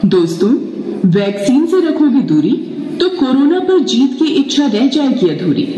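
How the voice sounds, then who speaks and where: Casting: storyteller with a dark, mellow voice, scripted puppet drama narration, echoey hall. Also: sits high, sing-song, a little slow, recorded from a distance. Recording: echoey hall, long reverb.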